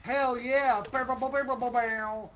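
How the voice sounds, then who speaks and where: Man, high voice, excitable gamer, repeated callouts, casual team chat, noisy gunfire background. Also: measured pace. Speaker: gamer in a group livestream. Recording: game gunfire and noise behind voice. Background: video game gunfire.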